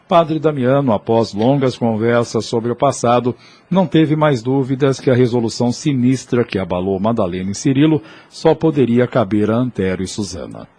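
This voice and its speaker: A man's voice: mid-range voice, lively intonation, moderate pace, few pauses, darkish tone, slightly rough. A man with a Brazilian accent giving scripted, dramatic narration for an audio drama.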